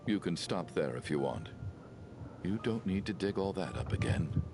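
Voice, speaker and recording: An actor playing a gruff, kind-hearted comrade in a video game, gravelly and easygoing as he consoles. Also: expressive, normal speed, over music. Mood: gentle consoling. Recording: rumbling noise behind the voice.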